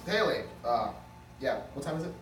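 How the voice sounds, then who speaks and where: A man's voice: a little high, very animated, moderate pace. A man playing a defensive, spiteful lawyer with a sarcastic, profane manner in a comedic script read. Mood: groggy, irritated. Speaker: actor at a script table read. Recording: roomy, distant mic, faint noise.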